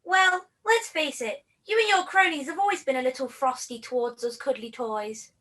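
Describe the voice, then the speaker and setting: A woman's voice: high voice, normal speed, pushed and bright. A woman playing an outspoken, challenging cuddly toy in a scripted puppet comedy.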